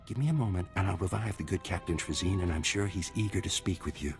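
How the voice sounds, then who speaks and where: Smug, gravelly villain doctor. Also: male voice, low-pitched, quick pace, music in the background. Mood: suave, glib. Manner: unctuous, politely mocking. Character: smug amoral male surgeon-scientist, a glib collaborator villain. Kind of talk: scripted video game cutscene acting.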